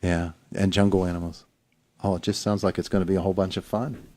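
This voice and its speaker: A man hosting a morning radio show in the studio, asking casual questions in a halting way with lots of "uh" and "um.". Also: low-pitched, flat delivery, dark tone. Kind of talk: casual interview.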